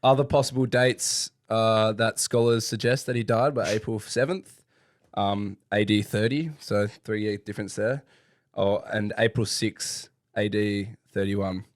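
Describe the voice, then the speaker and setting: A man's voice: lowish voice, steady tone, unhurried, no room sound. Joking podcast guy, dry close mic. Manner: joking, laughs often, reads trivia loosely. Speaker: podcast co-host. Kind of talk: casual chat.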